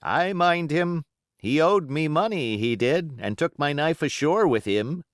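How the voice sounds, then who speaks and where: A man voicing a grumbling, superstitious old pirate in rough sailor dialect for an audiobook. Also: fairly high pitch, lively intonation, measured pace, no room sound, clean studio sound, pitched above the performer's own voice. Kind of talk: audiobook character voice.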